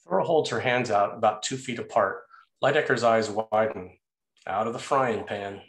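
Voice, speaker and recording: A man's voice: fairly low pitch, normal speed. Man narrating a screenplay, roomy echo. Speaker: narrator reading stage directions. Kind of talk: scripted screenplay narration at a table read. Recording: echoey, roomy sound.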